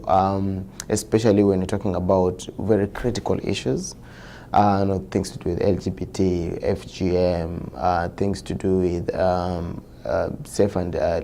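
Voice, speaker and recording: A man with a very deep, mellow voice answers interview questions, hesitating often and filling gaps with pauses. A faint rumble sits behind him. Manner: hesitant, many fillers. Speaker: interviewee on human rights. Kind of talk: documentary interview.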